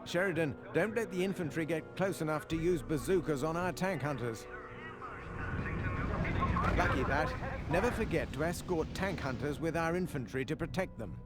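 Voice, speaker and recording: A man's voice: on the high side, moderate pace, lightly acted. An actor playing a genteel British army officer who briefs his colonel in a video game, proper and drily understated. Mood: brisk, advising. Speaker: military adviser in a war game. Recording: loud music under the voice. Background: loud game music.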